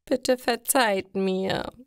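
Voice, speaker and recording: A woman's voice: mid-range voice, extremely slow, clear, resonant tone, no room sound, studio quality. A woman voicing a bossy but fragile young girl in a story, emphatic and pleading. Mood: tearful, sorry. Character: bossy young girl, later tearfully sorry.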